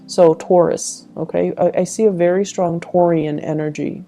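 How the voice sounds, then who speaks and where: Dark, mellow-voiced woman giving a tarot reading. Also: fairly low pitch, steady tone, normal speed, gravelly, faint music behind. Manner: reflective, advising, addresses listener directly. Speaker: tarot reader and channel host. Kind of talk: intuitive tarot reading addressed to the listener.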